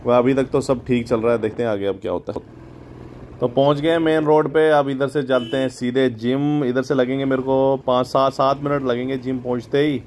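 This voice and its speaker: A man's voice: medium pitch, fairly even intonation, moderate pace, a little mellow, a little hiss or hum. A man vlogging casually as he rides a motorbike outdoors, rambling and thinking aloud, recorded on a phone mounted on the bike. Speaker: motovlogger. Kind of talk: casual vlog chat. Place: outdoors riding a motorbike on the road.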